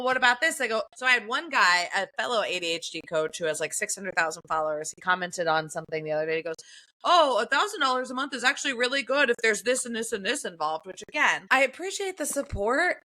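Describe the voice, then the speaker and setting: A woman's voice: fairly high pitch, pushed and bright. A woman guest on an interview show, talking bluntly and casually, with some swearing. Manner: blunt, swears, vivid imagery. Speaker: guest, ADHD coach.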